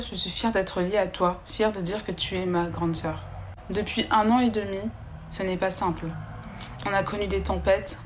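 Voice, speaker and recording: A woman reading a heartfelt letter aloud to her older sister, in a tender, measured way. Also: mid-range voice, a little hiss or hum.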